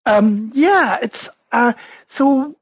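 Scottish guy on a podcast, kinda husky, lots of ums, thinking out loud. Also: very high voice, lively intonation, drawn-out words. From Scotland. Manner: hesitant, many fillers and restarts; thoughtful, explanatory. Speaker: guest author and researcher. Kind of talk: podcast interview.

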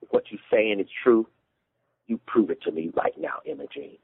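A man's voice: on the low side, wildly swooping pitch, normal speed, creaky, slightly rough, no room sound, narrow, tinny audio, voice pushed up, heavily acted. A man voicing a volatile, wounded Southern father, commanding and anguished in rural dialect. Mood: quietly threatening.

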